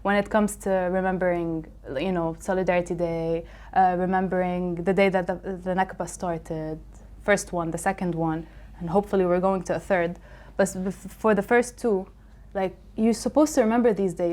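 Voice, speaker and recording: A woman's voice: fairly low pitch, fairly even intonation, moderate pace, darkish tone. A young woman from a Palestinian family raised in Lebanon, a podcast guest, talking casually and earnestly, with many fillers and restarts. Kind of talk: casual conversation.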